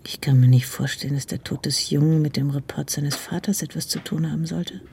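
An actress playing a sharp, caring middle-aged wife in a radio drama, speaking in a dry, probing, intimate way. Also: female voice, low voice, steady tone, brisk, creaky, a little hiss or hum. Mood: probing, indignant. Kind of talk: naturalistic radio drama acting.

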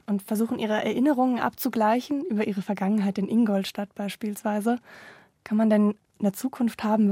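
Female radio interviewer, mellow, slightly husky. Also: mid-range voice, dry recording. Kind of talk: prepared radio moderation and questions.

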